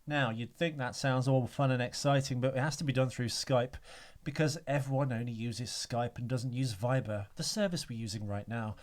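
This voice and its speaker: A male podcast host talking over a remote call, loose and jokey, wandering through his points with plenty of "uh"s. His pitch sits in an ordinary middle range. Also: normal speed, hardly any pauses. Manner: joking, rambling, hesitant with many ums. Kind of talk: casual film-breakdown chat.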